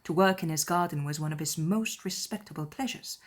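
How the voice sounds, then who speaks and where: Low-voiced woman narrating a classic novel. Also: a little fast. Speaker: audiobook narrator. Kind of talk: scripted literary narration.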